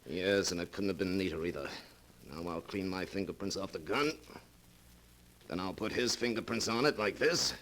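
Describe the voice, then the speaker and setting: An actor playing a scheming, cold-blooded fugitive husband in a golden age radio drama, impatient, domineering and emphatic. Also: male voice, low-pitched, normal speed, fairly bright tone. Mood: brisk, cold. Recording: noisy old radio drama recording.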